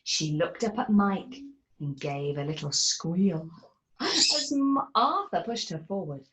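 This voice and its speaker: A woman reading a rhyming picture book aloud in an animated, theatrical way. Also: lowish voice, slow pace, poor audio. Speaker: narrator.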